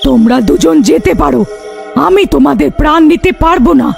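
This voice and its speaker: A man voicing a menacing, commanding female witch ghost with a gravelly voice in an animated horror fairy tale. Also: very high voice, fairly quick, soft background music, heavily acted. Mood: solemn. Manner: threatening, commanding.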